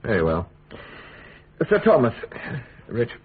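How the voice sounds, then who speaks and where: An actor playing a silky Tudor royal secretary in a radio drama, British, falsely cordial, probing and precise. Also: male voice, mid-range voice, wildly swooping pitch, takes it slowly, husky, heavily acted. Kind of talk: period radio drama acting. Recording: muffled phone-like radio drama.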